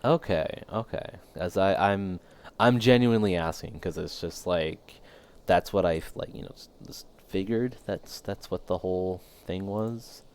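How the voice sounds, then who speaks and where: A man's voice: deep voice, a little slow, darkish tone, clean tone. A man streaming a game, chatting casually and thinking aloud while losing his voice. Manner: rambling, thinking aloud, many fillers. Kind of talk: casual live game commentary.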